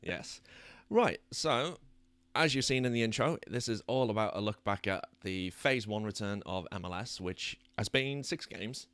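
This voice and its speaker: A British man hosting a soccer podcast in a chatty, self-deprecating way, his voice a little croaky. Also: on the low side, lively intonation, unhurried, fairly bright tone, dry recording. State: slightly croaky voice. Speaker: podcast host. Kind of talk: casual chat. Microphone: new microphone.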